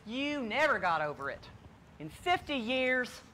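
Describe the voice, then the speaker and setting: An actress playing a cautious, scolding older sister in a stage play, blunt and exasperated. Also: female voice, a little high, big pitch swings, unhurried, bright, forceful tone, slight background noise, heavily acted. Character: scolding, cautious, embittered older sister, set in her ways.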